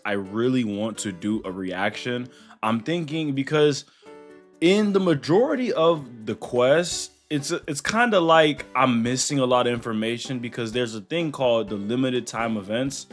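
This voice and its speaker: A young man giving casual, chatty let's play commentary, slangy and reacting aloud. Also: mid-range voice. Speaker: gaming YouTuber.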